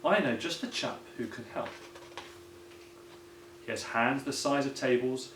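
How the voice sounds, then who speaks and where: A man's voice: mid-range voice, unhurried, fairly bright tone, natural voice. A man voicing a kindly old grandad who earnestly tells tall tales in a children's picture book. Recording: roomy echo, some background noise.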